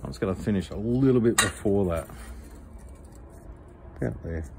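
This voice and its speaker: Low-voiced Australian knife maker explaining. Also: male voice, normal speed, noisy background. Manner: relaxed, practical, explains each step with fillers. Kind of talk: workshop tutorial voice-over. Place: home workshop.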